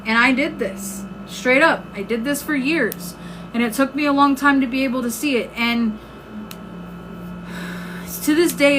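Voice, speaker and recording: A woman giving an informal, emphatic motivational talk on a live stream, conversational and often checking in with listeners, her voice starting to give out. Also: fairly high pitch. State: losing her voice. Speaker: live-stream host and self-help coach. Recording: clearly noisy background.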